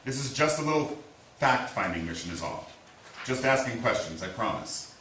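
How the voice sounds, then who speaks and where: A man acting in a staged reading as a steady, apologetic small-town lawman, speaking in a measured, reassuring way. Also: mid-range voice, close to an everyday voice. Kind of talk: staged play reading, naturalistic. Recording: echoey room, distant mic, faint hiss.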